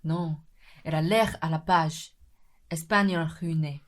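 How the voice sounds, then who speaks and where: A woman's voice: low-pitched, fairly even intonation, a little slow. A woman playing a bossy, irritable male gang leader in a comic radio play, curt and scolding in delivery. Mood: businesslike, grumbling.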